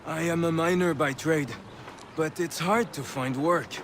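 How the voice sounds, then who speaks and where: An actor playing a friendly, plain-spoken miner with a French Canadian accent in a video game. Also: male voice, fairly high pitch, a little slow, a bit bright, a little hiss or hum. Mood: earnest, amiable. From Quebec.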